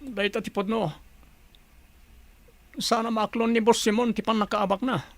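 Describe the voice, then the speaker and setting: A hired gunman lying wounded in a radio drama. His voice is pushed high and strained with pain. He pleads and confesses, close and dry. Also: steady tone, normal speed, gravelly, no room sound. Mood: anguished confessing.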